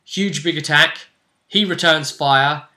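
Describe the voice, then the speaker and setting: A man retelling a tabletop roleplay session as game master, in animated, emphatic conversational storytelling. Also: fairly high pitch, takes it slowly.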